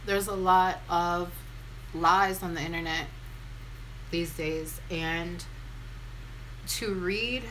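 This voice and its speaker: A young American woman speaks in a deep voice with a bright, forward edge. She chats informally and candidly, often poking at herself, like a vlogger talking to followers. There is faint noise behind her in the home-video recording. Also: steady tone, slow, pauses often, low-quality recording. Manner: candid, self-deprecating, informal. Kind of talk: casual chatty get-ready-with-me storytime.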